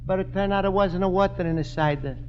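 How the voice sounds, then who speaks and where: An actor playing a naive, earnest Italian immigrant shopkeeper who speaks broken English with an Italian accent in a 1950s radio sitcom. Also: male voice, high voice, a little fast, a little mellow, natural voice. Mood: sheepish. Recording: muffled, noisy old radio recording.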